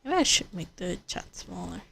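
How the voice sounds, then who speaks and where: A woman's voice: on the low side, sing-song, moderate pace, gravelly, a bit bright, slightly rough. A woman livestreaming a video game, chatting casually and thinking aloud in rambling, repeated phrases. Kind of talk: casual livestream chat while gaming.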